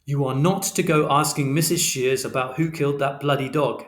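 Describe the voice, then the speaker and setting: A man voicing an exasperated middle-aged father who scolds and shouts. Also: medium pitch, moderate pace, lightly acted. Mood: stern. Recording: roomy echo.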